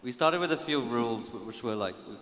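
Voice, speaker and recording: A man's voice: mid-range voice, animated, fast talker, fairly bright tone. A man on a convention panel explaining creative choices in a thoughtful, meandering way. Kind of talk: panel discussion. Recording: echoey hall, faint hum.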